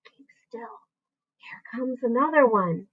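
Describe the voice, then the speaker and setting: A woman voicing a brave young boy who gives urgent orders, now whispering, now shouting, in a storybook reading. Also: very high voice, moderate pace, narrow, tinny audio, pitched above the performer's own voice.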